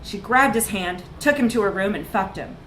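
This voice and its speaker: A woman reading a comic short story aloud in a live literary reading, with expressive, dramatic emphasis. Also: mid-range voice, moderate pace. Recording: echoey room with background noise.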